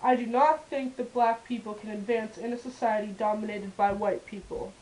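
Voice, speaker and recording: A woman's voice: mid-range voice, steady tone, moderate pace, a little mellow, lightly acted, low-quality recording. A woman playing a firm, defiant male activist in a puppet show, narrating his life in the first person. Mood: earnest, firm.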